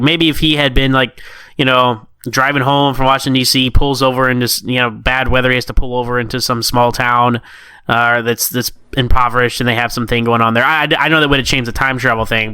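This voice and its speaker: A man co-hosting a casual podcast discussion, recapping stories in a rambling, self-deprecating way with animated asides. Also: mid-range voice, smooth, no room sound. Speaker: podcast co-host.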